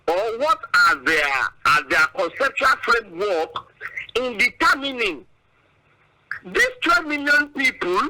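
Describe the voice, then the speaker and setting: A man's voice: high voice, sharp tone, slight background noise, rough recording. A Nigerian man giving political commentary as a guest analyst, emphatic and indignant, stretching out big words and firing off rhetorical questions.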